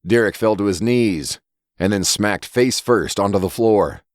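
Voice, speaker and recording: A man's voice: fairly low pitch, measured pace, no echo, studio quality. A man reading a scripted horror story as its narrator.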